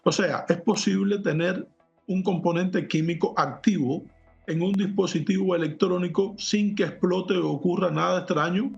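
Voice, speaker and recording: A man with a fairly high, gravelly voice explains technical matters as an interview guest. He is measured and precise. The sound is muffled and lo-fi, with music underneath. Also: darkish tone. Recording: muffled lo-fi audio, music behind.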